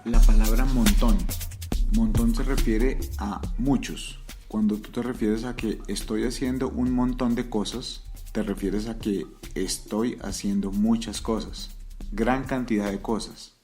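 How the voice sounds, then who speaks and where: A man from Bogotá with a Bogotá Colombian accent, hosting a podcast and clearly explaining Spanish words to learners. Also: fairly low pitch, steady tone, measured pace, a little mellow, soft background music. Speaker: podcast host and Spanish tutor. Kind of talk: scripted introduction and vocabulary explanations.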